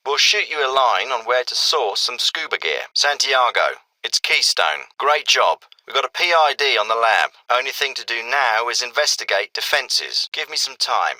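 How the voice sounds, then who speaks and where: An actor playing a brisk, dry-witted military intelligence commander giving clipped mission briefings in a video game, processed to sound like radio. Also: male voice, medium pitch, big pitch swings, pushed and bright, no room sound, rough recording. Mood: brisk, serious. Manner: clipped, businesslike, wry. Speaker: special forces handler giving mission briefings.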